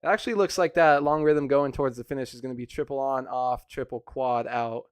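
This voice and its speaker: A man's voice: medium pitch, fairly even intonation, brisk, clear, resonant tone, no room sound, studio quality. A man giving casual, analytical color commentary on an esports race, with plenty of fillers. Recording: noisy background.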